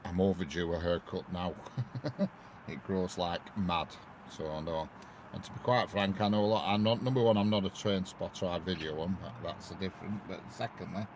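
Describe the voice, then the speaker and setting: Low gravelly Lancashire man streaming trackside. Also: darkish tone. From northwest England. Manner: chatty, greets viewers one by one, laughs often. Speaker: railway livestreamer. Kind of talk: casual live-stream chat. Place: outdoors by a railway line. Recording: noisy outdoor live stream.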